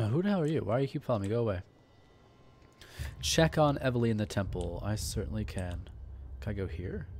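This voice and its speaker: A man's voice: lowish voice, lively intonation, brisk, gravelly, darkish tone. A man giving casual, laid-back gameplay commentary, often muttering to himself. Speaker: gaming streamer, let's player. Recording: background noise, keyboard clatter.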